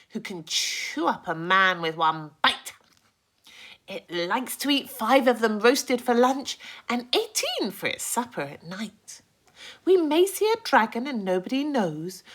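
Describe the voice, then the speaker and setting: A woman voicing an excited, boastful male centipede in a children's story, calling out and chanting with relish. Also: medium pitch, heavily acted. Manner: shouting, chanting rhymes with relish.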